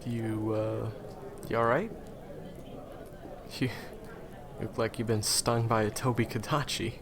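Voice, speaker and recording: A man playing a low, gravelly, friendly hunting guide. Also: level tone, a crowd in the background. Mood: concerned, reassuring. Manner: conversational, hesitant pauses, reassuring. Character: friendly male guide, former hunter, reassuring and encouraging. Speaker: guide and handler for a hunter. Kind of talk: fantasy game audio roleplay addressed to the listener.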